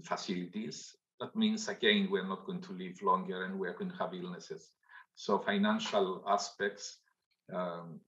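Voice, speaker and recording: An older man speaking as a guest on a conference panel over a video call, slow and halting, with many pauses and restarts. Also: deep voice, fairly bright tone. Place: home room, indoors. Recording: dull lo-fi video call audio.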